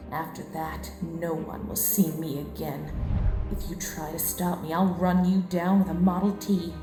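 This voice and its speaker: A woman playing a brooding, threatening femme fatale in a parody of 1940s detective radio, heard as an echoing memory. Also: on the low side. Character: brooding femme fatale plotting murder and escape. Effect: echoing memory flashback. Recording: echoey flashback reverb, music behind.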